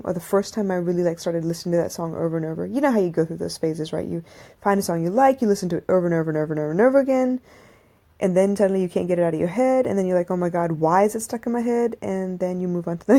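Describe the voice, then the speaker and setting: Low, mellow-voiced woman podcaster. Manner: conversational, reflective, with restarts and asides. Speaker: podcast host. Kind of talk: casual monologue to listeners. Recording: live audio stream from a phone.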